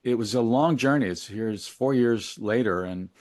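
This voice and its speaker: Low-voiced man, musing and close-sounding. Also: a little mellow, no room sound. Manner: musing, halting, colloquial. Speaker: interview guest, musician and memoir author. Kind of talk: reflective conversational interview.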